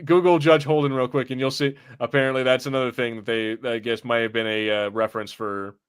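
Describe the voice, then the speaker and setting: A man's voice: medium pitch, flat delivery, a little fast, clean tone. A man co-hosting a podcast with casual, conversational banter about pop culture. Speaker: podcast co-host.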